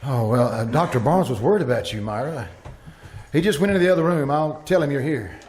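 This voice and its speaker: Male actor as doomed survivor, grave, hesitant, medium pitch, radio thriller narration. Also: animated, a little fast, a little mellow, slight background noise, lightly acted. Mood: uneasy. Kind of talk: live old-time radio thriller acting with narration.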